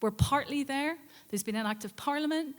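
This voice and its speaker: A woman giving an acceptance speech in a deliberate, emphatic and earnest manner. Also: high-pitched. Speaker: award winner giving speech.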